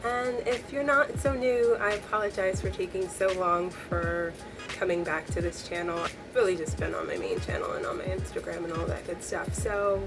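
A young woman with a voice hoarse from a cold, chatting upbeat to camera from a small bedroom. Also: medium pitch. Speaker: beauty vlogger. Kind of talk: casual talk to camera.

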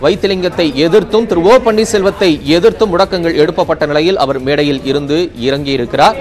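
A man with a fairly high voice narrates live breaking news as an anchor. He keeps a steady, formal delivery as he talks over footage from the scene. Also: fast.

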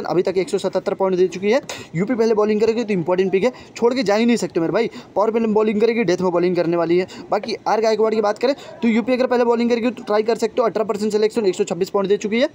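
A young man speaks extremely fast in a high, mellow voice. He chats informally to viewers as a fantasy sports tipster, addressing them like brothers. There is clear noise behind his voice-over. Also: fairly even intonation. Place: voice-over on a phone screen recording.

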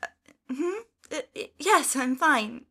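Woman playing a high-voiced, sweet trusting lady. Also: takes it slowly, pushed and bright, full character voice. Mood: dazed, gentle. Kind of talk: animated web series dialogue.

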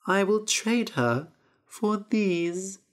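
A woman voicing a strange, sly old female witch who speaks slowly and coaxingly, drawing out her words. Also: mid-range voice, animated, pitched above the performer's own voice.

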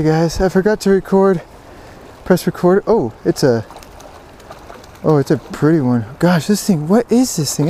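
A man giving excited, casual fishing commentary, repeating words in bursts, speaking outdoors into a body-worn action camera. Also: a little high. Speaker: fishing vlogger. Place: outdoors beside a stream. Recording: outdoor water noise behind voice.

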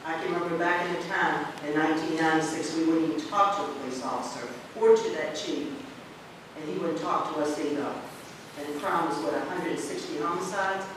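A man's voice: a little high, brisk. Fervent older man in echoey chamber. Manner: fervent, repetitive, rising to loud pleas. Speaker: city council member. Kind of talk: impassioned council speech. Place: council chamber. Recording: echoey far-off chamber audio with noise.

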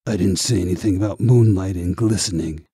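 A man's voice: low voice, brisk, mellow, husky, no room sound. A man voicing a cocky, wisecracking undercover agent in an audiobook, flippant and a little defensive. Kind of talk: audiobook character voice.

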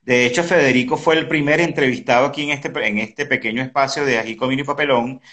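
A man in his early fifties hosting a casual interview on a video call, speaking haltingly and often repeating words. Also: medium pitch, fairly even intonation, a bit bright. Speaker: interview host. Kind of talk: casual conversational online interview. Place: indoor room at home. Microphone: video call, device close in front.

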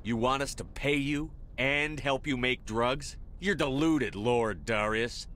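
An actor playing a tough, no-nonsense military commander in a video game, speaking in a terse, hard-edged, controlled way. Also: male voice, medium pitch, slow pace, fairly bright tone. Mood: threatening, disdainful.

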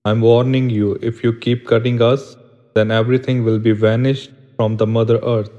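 A man voicing a kindly old talking tree in a cartoon moral story, speaking earnestly and gently. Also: mid-range voice, level tone, moderate pace, highs cut off, natural voice. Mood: pleading, warning. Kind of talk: animated moral story narration.

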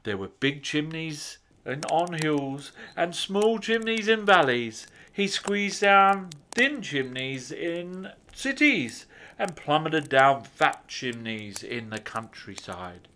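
A male storyteller narrates for children in an expressive way. His pitch moves freely and the pace is unhurried, with words drawn out. There is some faint noise behind him.

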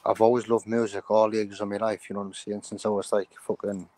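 A young rapper from the United Kingdom answering interview questions over a video call, mumbling with lots of "you know what I'm saying". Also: male voice, lowish voice, flat delivery, quick pace, warm tone, dry recording. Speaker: rapper guest.

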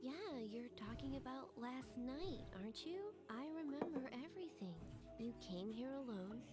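Young waitress, high pitch, matter-of-fact, direct, video game cutscene, loud music. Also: female voice, expressive, normal speed. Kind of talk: English video game voice acting. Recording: distant game audio under loud music.

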